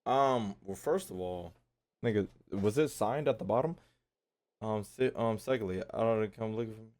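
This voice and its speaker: A man's voice: fairly low pitch, moderate pace, a little mellow. A man giving casual, joking, slangy commentary over a game playthrough, often echoing the dialogue. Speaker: gaming streamer.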